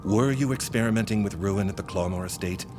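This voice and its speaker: An actor in an audio drama playing a concerned, proud senior scholar and mentor who questions insistently. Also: male voice, fairly low pitch, fairly quick, lightly acted. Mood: wary, insistent. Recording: music under the voice.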